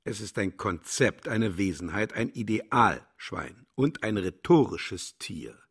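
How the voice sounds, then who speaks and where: A man's voice: on the low side, animated, takes it slowly, slightly rough, heavily acted. A man playing a vain, contemptuous philosopher in a radio play, declaiming and lecturing grandly. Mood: self-satisfied, lecturing. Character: pompous, cynical male philosopher, vain and contemptuous. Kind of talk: German radio play acting.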